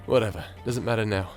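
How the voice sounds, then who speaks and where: A man's voice: on the low side, a little mellow, natural voice. A man playing an irreverent, sly rogue companion in an ASMR audio roleplay, with a casual, wry way of talking.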